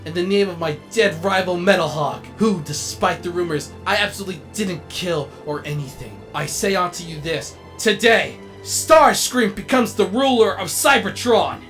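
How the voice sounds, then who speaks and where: A man playing a vain, melodramatic robot actor and director in a comedic animated comic dub, with an excitable, grandiose delivery. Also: high-pitched, normal speed, few pauses, faint music behind. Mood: pompous.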